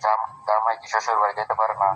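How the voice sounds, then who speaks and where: A man's voice: fairly low pitch, completely flat delivery, fast, pushed and bright, faint music behind. A man in a casual private phone conversation, giving terse, brief replies. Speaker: son-in-law on a phone call. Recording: noisy recorded phone call.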